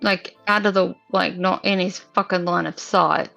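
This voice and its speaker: A woman's voice: fairly low pitch, fairly even intonation, normal speed, gravelly, pushed and bright. A woman chatting casually and informally as a player in a tabletop roleplaying game. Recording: muffled audio with music underneath. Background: background music.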